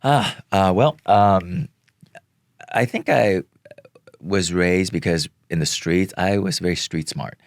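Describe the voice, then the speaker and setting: A middle-aged man from Vietnam whose first language is Vietnamese, talking slowly and earnestly as an interview guest, pausing often with fillers. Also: deep voice, no echo, clean studio sound. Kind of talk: reflective interview talk.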